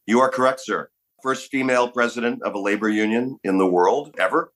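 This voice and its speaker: A middle-aged man from Los Angeles, a guest on a podcast, telling stories in a relaxed, casual way. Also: medium pitch, brisk. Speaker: guest actor. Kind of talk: casual interview chat.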